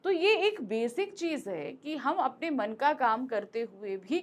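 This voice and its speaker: Casting note: woman teacher with a fairly high voice, chatty and explanatory, close-miked online lesson. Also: very animated, unhurried. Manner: conversational, repeats points, asks rhetorical questions. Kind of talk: explaining a Hindi lesson to students. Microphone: close mic.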